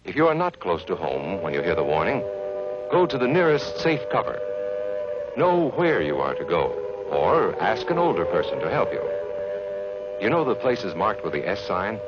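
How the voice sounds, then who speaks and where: A man's voice: low voice, sing-song, moderate pace, muffled recording, heavy background noise. A man narrating an educational film for children in an earnest, friendly, instructive way, from an old 1950s soundtrack.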